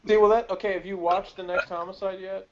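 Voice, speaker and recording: A man co-hosting a casual review chat about TV, talking in a halting, rambling way with frequent self-interruptions. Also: high voice, level tone, highs cut off. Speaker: podcast co-host.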